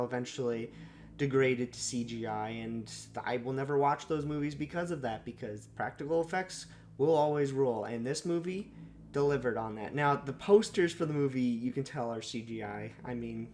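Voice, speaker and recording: Raspy young man rambling about a movie. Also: mid-range voice. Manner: rambling, frequent fillers and self-corrections. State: slightly sore throat, a bit raspy. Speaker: movie reviewer. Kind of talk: unscripted first-impressions monologue.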